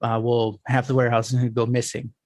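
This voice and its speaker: A man's voice: medium pitch, fast talker. A young man hosting a podcast, telling casual stories and acting out the voices of people he quotes, with lots of fillers and restarts. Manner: animated storyteller, acts out quoted voices. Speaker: podcast host. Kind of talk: casual storytelling chat.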